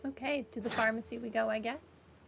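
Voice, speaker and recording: A woman's voice: on the high side, steady tone, a little fast, gravelly, music in the background. A woman doing casual commentary on a game playthrough, reading dialogue choices aloud. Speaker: gamer streaming a playthrough.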